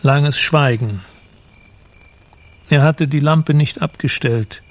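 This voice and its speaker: A man narrating a literary radio play in a measured, solemn manner. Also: fairly high pitch.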